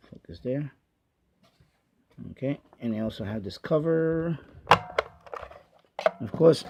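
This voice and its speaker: A man giving a casual hands-on product review in a halting way, repeating himself as he goes. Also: a little high, vocal fry. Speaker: gadget reviewer and radio hobbyist. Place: basement of a house. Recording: dull lo-fi home video sound.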